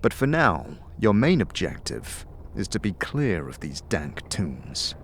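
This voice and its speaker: A man giving scripted fantasy narration in the second person, as a measured, dramatic storyteller. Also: deep voice, very animated, slight background noise.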